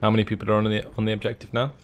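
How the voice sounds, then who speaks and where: A man chatting casually with a teammate during an online game, relaxed and laughing off his own deaths. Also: lowish voice, racing. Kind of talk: casual gameplay chat.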